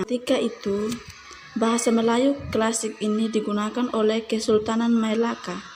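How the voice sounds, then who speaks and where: A young woman student reading a class presentation in an even manner, with a few restarts. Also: mid-range voice. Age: young adult.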